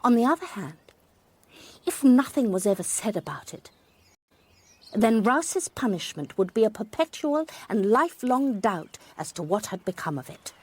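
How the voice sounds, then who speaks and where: An actress playing a sharp-eyed, wry former schoolmistress, telling a mystery in a precise, measured way for radio drama. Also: female voice, mid-range voice, big pitch swings, husky. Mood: wry, reflective. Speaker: narrator and lead character. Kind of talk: first-person dramatised narration, radio drama.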